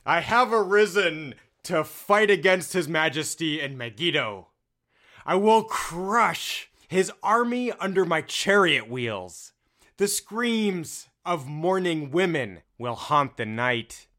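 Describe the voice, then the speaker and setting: An actor playing a cruel, gloating enemy chieftain in a dramatic reading, menacing and theatrical. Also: male voice, fairly high pitch, lively intonation, takes it slowly, pushed and bright, exaggerated voice. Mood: gloating menace. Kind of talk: dramatic reading of an ancient account.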